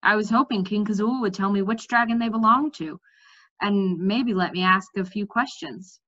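A woman's voice: medium pitch, moderate pace, a bit bright, dull audio, natural voice. A woman reading the part of an earnest, questioning young king in a fantasy storybook. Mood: frustrated.

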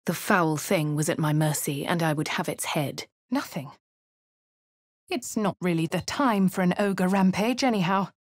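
A posh, wry female adventurer from a fantasy game, voiced by a woman with a posh southern English accent. She narrates in a crisp, clipped, slightly low voice with dry asides. The sound is close and dry, with no room around it. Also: moderate pace, no echo, close to an everyday voice. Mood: grim. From Baldur's Gate. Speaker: player character. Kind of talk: video game voice lines.

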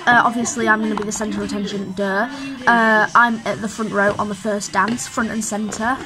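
A woman's voice: mid-range voice, flat delivery, moderate pace, rough recording. A teenage girl chatting to camera in a cheeky mock-diva manner, recorded on a phone in a dressing room. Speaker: youth pantomime performer. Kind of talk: casual chat to camera. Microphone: phone propped close by.

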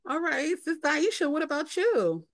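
A woman's voice: high voice, sing-song, moderate pace, no room sound, clean studio sound. A woman hosting a video call, cheering on each guest and handing over turns. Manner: encouraging, affirming interjections. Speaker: host. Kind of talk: casual video call chat.

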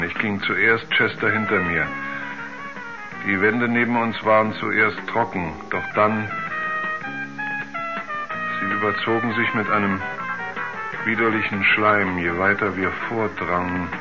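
An actor playing a shaken astronaut in a radio drama, speaking haltingly and repeating himself as if dazed. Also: male voice, lowish voice, fairly even intonation, over music, natural voice. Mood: dazed, haunted. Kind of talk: 1970s German radio drama acting. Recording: dull vintage radio play sound.

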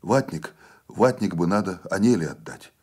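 A man acts out a condemned villager in a radio story. He is weary and resigned, with a very deep, husky voice, halting and quietly pleading. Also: lively intonation.

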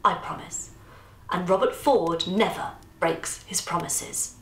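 Woman reader voicing a smooth-talking menacing father, soft and sly, gravelly. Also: lowish voice, slow, echoey room, slight background noise, low-quality recording. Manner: smooth and silky, dropping to a whisper. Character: smooth, menacing long-lost father, adult man.

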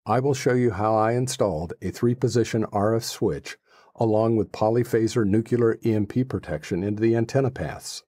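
A middle-aged man presenting a how-to in a measured, matter-of-fact manner. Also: lowish voice, dark tone, dry recording, studio quality. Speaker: host presenting a radio installation.

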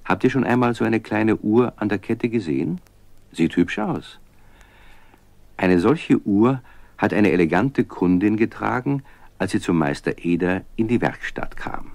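A man's voice: lowish voice, animated, a little slow, gravelly, a little mellow, no room sound. A man narrating a children's story, addressing young listeners directly.

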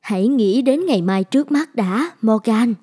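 A woman playing a worried, cautious wife in an animated story, earnest and measured. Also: fairly high pitch, breathy edge. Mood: concerned. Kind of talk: dubbed cartoon dialogue.

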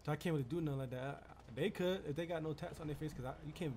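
A man's voice: fairly high pitch, racing, darkish tone. A young man bantering casually in street slang during online game roleplay, often repeating his phrases. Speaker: player in a GTA roleplay server. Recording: background noise on game voice chat.

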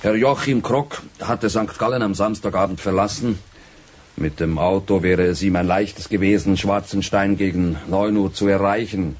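Husky, earnest younger man. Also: sits low, fairly even intonation, normal speed, a little mellow. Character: earnest, dutiful younger man reporting to his father. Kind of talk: radio drama acting.

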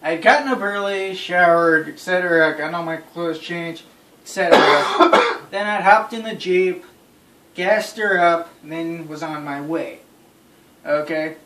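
A man's voice: high voice, fairly bright tone. Emphatic young man, roomy distant recording. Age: young adult. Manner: exasperated, emphatic, repetitive. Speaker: storyteller. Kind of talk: casual storytelling among friends. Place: small bedroom, indoors. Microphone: phone camera across the room. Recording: roomy, noisy recording, distant phone mic.

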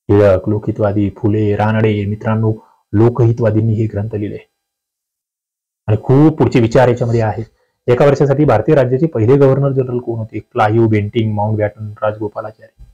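Male coaching teacher, Maharashtrian accent, soft mellow tone, instructive and emphatic, walks through quiz questions. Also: mid-range voice, poor audio. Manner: instructive, emphatic, often asks rhetorical questions. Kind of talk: quiz-paper lesson, reading questions and answers aloud.

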